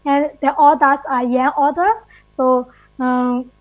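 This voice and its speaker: A woman with a high voice and a Burmese accent speaks at a book launch. She searches for her words as she gives thanks. The audio is dry and a little dull. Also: fairly even intonation, slow, dry recording. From Myanmar. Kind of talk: informal thank-you speech.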